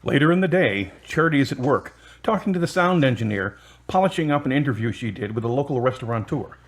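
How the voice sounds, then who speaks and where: A male narrator with a medium-pitched voice reads scripted scene descriptions. It is plain storytelling for an audio drama. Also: expressive, fast talker.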